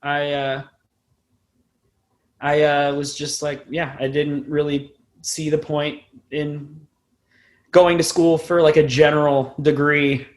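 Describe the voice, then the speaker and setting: A man's voice: on the high side, flat delivery, slow pace, pauses often. A man in his twenties chatting casually as a musician being interviewed, rambling and self-deprecating. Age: 20s. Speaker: podcast guest, band musician.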